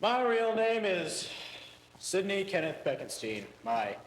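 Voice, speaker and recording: A young man voicing a proud dancer with a dry wit. His voice sits slightly high and carries the long reverb of a school hall. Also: big pitch swings, takes it slowly, heavily acted. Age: young adult. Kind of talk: school stage play.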